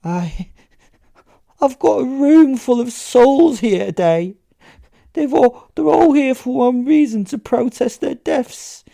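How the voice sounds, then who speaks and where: A man's voice: very high voice, lively intonation, slow, creaky, mellow, dry recording, clean studio sound, voice pushed up, exaggerated voice. A man voicing a terrified, tearful stage psychic in a horror story, stammering and repeating himself in anguish. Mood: tearful dread. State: crying, distressed. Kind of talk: dramatic audio horror story acting.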